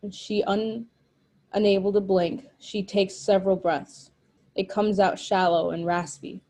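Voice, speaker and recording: A woman's voice: fairly low pitch, warm tone. A woman narrating stage directions from a screenplay at a table read.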